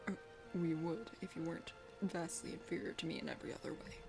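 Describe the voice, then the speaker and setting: A woman's voice: on the low side, fairly even intonation, quick pace, gravelly, over music, voice pushed down. A woman playing an arrogant, blustering but secretly bashful young man from a dating game. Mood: boastful. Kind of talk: dating game character read-aloud, ASMR.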